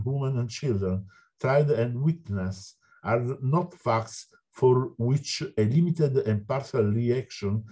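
A man's voice: mid-range voice, dark tone, breathy edge. Italian man reading a formal speech. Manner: formal, slightly halting reading. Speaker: board member. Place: indoor office with wooden wall. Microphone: video call.

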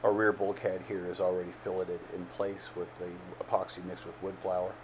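Deep-voiced middle-aged man narrating. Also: flat delivery, brisk, background noise. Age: 50s. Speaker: amateur boat builder narrating his project video. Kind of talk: casual voice-over narration of a timelapse.

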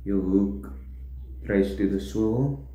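A young man explaining step by step how to tie fishing tackle, in a halting, plain way while he works at a table indoors. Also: fairly low pitch, mellow. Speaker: fishing tutorial host. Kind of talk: step-by-step demonstration. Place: indoors at a wooden table, echoey room. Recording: roomy echo, faint room noise.

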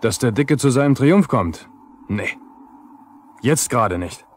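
A man's voice: mid-range voice, animated, unhurried, natural voice. An actor playing a resentful, defiant younger lineman who grumbles colloquially in a 1970s East German radio drama. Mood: bitter, defiant. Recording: noisy 1970s vinyl radio play.

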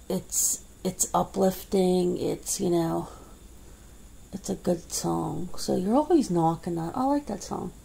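A woman's voice: on the low side, creaky, mellow, husky, low-quality recording. A woman doing an ASMR roleplay at home, rambling chattily to an unseen partner with frequent laughs and fillers. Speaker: ASMR creator. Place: indoors at home.

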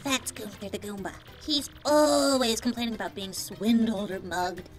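A woman voicing a perky, excitable young female Goomba student in a video game, talking in sassy valley-girl slang. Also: medium pitch, normal speed, lightly acted. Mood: helpful. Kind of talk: video game dialogue voice acting. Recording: game music behind the voice.

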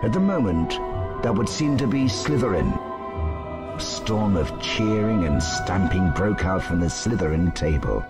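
A man narrates a storybook in a measured way. His voice sits a little low and moves expressively up and down, with music clearly behind it. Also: expressive.

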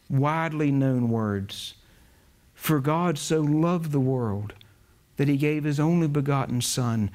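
A man's voice: on the high side, a little mellow. Young guy preaching in a chapel, earnest, takes his time, stresses words. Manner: slow, earnest and emphatic, stressing key words. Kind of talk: chapel sermon. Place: chapel, behind a pulpit.

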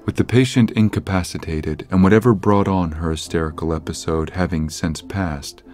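A deep-voiced man narrates a horror audio drama. His storytelling is scripted, literary and measured, with music clearly playing behind him. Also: steady tone, a little mellow.

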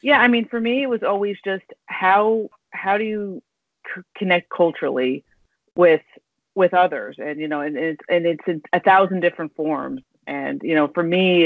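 Halting woman host on a tinny call. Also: lowish voice, dry recording. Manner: rambling, halting, full of restarts and fillers. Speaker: podcast host. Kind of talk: casual interview chat. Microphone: video call. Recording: tinny dry video call audio.

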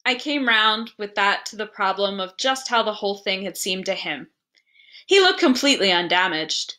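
A woman reading a literary story aloud with expressive, dramatic and deliberate phrasing. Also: mid-range voice.

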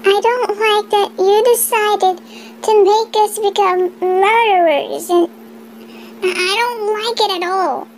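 A young-sounding performer voicing a gentle, timid cartoon elephant, earnest and kindly. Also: very high-pitched, fairly even intonation, slow pace, slight background noise, heavily acted. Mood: earnest pleading. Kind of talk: slow earnest cartoon monologue.

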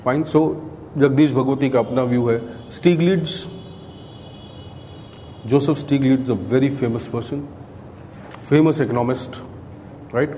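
A man's voice: mid-range voice, a little fast, long pauses, mellow. A middle-aged man with an Indian accent giving a classroom lecture, explaining point by point and often checking in with "right?". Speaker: coaching lecturer. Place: indoor classroom, at a podium beside a screen. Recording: echoey room with clear background noise.

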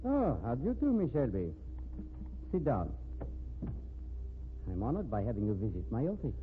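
A courteous, probing detective from a 1950s radio mystery. He words everything formally and precisely, with very animated pitch. The sound is narrow and tinny, with faint hiss. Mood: polite, assured. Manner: formal, measured, precise diction. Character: courteous, methodical male police detective, coolly probing. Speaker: actor in radio drama.

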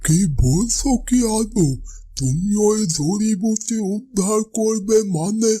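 A man's voice: high-pitched, slow, dark tone, breathy edge, full character voice. A man voicing a kindly fatherly protector in a fairytale cartoon, speaking with concern and caution. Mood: alarmed concern. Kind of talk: dramatic fairytale cartoon dubbing.

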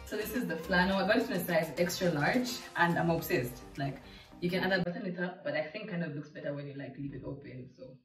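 A nineteen-year-old woman from Zambia, chatting casually and excitedly through a clothing try-on haul in her living room. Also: on the low side, fast talker. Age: late teens. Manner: chatty, bubbly, lots of fillers. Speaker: vlogger. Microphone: camera mic from across the room. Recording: echoey room, distant mic, faint music.

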